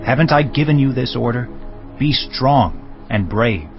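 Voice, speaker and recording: An actor playing a resolute, brooding prince with controlled authority in a dramatized audiobook. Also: male voice, medium pitch, expressive, measured pace. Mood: commanding. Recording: slightly dull audio with music bed.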